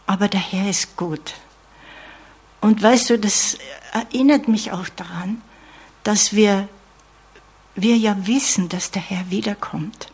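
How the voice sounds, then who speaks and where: A woman's voice: medium pitch, takes it slowly, long pauses, darkish tone, husky. A woman preaching a sermon in an earnest tone, often adding "ja?" asides and dropping to a whisper.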